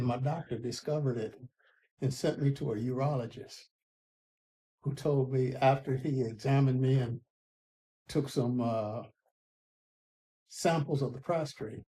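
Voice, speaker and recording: A man's voice: medium pitch, measured pace, long pauses, mellow, rough recording. A man in his eighties, a retired postal worker, telling his story plainly in a documentary interview. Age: 80s.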